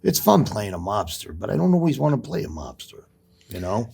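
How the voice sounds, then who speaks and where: A middle-aged man with a gravelly, rough-edged voice and a breathy, husky tone. He talks in an easygoing, conversational way and shares his view of life with earnest conviction. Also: on the low side, sing-song, a little fast, darkish tone. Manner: earnest, speaks in life lessons. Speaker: actor guest. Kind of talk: casual podcast chat.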